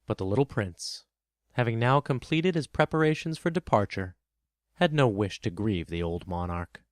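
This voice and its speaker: Expressive male storyteller, lively intonation. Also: on the low side, moderate pace, no echo, studio quality. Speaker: narrator. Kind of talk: scripted dramatic storybook narration.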